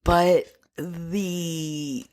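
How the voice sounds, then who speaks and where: A woman's voice: on the low side, fairly even intonation, drawn-out words, a little mellow. A woman co-hosting a podcast, chatting casually about films in an informal, jokey way with some swearing. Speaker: podcast co-host. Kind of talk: casual film review chat.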